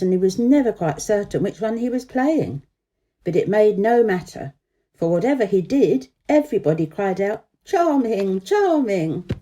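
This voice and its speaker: Woman, storyteller, children's narration, expressive, animated pitch, very warm dark tone.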